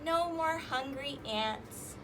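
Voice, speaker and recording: A woman hosting a children's storytime, reading rhymes and picture books aloud in an animated, sing-song, exaggerated way. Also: sits high, takes it slowly, very noisy. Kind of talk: interactive children's read-aloud with rhymes and fingerplay.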